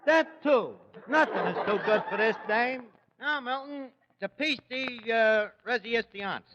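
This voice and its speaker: A man's voice: very high-pitched, unhurried. An actor playing a scheming, malaprop-prone New York tavern manager in 1940s radio comedy, slangy, hesitant and mangling his words. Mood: boastful pitching. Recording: noisy, muffled 1940s radio recording, echoey hall.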